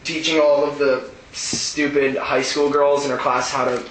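A man's voice: medium pitch, level tone, a little slow, fairly bright tone. A teenage male chatting casually about books in a group, joking and slangy. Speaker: podcast book reviewer. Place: room with a group of people. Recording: echoey room, distant mic, faint noise.

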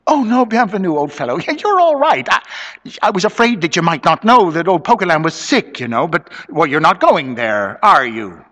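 A man's voice: a little high, big pitch swings, normal speed, fairly bright tone, long reverb tail, pitched above the performer's own voice. A man voicing a meek, anxious little secretary in a story, pleading earnestly and hesitantly.

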